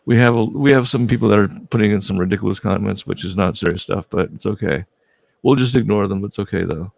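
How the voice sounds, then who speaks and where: A man's voice: on the low side, fast talker, vocal fry, darkish tone, no echo. A man hosting a casual livestream interview, friendly and prone to stumbling restarts. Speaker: host.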